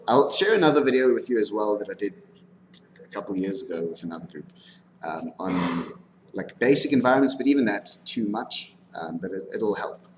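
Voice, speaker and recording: Male instructor, low register, casual step-by-step demo delivery, frequent check-ins, slight room noise. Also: rough recording. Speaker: animation lecturer. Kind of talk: informal software lesson with live demonstration. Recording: background noise, room ambience.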